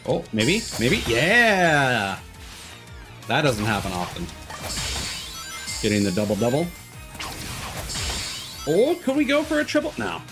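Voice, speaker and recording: A man's voice: a little high, huge pitch swings, slow, long pauses. A man doing casual, rambling and opinionated gameplay commentary as a live streamer. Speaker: video game live streamer. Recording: loud music behind the voice.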